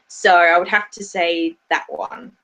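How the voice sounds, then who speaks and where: A woman's voice: lowish voice, steady tone, a little slow, pushed and bright, poor audio. A woman with a British-tinged Australian accent, chatting casually on a livestream as co-host, rambling with many restarts. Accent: mixed British and Australian. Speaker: YouTube anime reviewer, livestream co-host.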